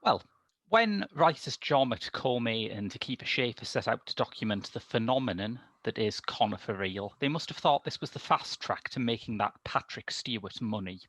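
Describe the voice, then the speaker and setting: A man in middle age hosting a film podcast in a wry, deadpan manner, moving between prepared introduction and panel chat. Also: medium pitch, moderate pace, no room sound. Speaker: podcast host and film critic. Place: small room indoors. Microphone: headset.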